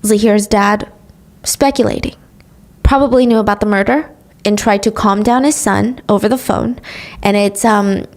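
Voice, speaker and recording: A woman's voice: mid-range voice, vocal fry, a little mellow. A woman hosting a podcast, retelling a true crime case in casual chat with sarcastic asides. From grew up speaking English, also Korean. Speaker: podcast host.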